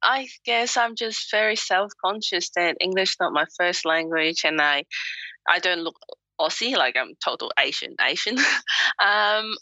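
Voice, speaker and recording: Bright-voiced woman with a Hong Kong accent. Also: on the low side, no echo, muffled recording. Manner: frank, chatty, laughs often. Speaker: author and guest. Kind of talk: interview answers.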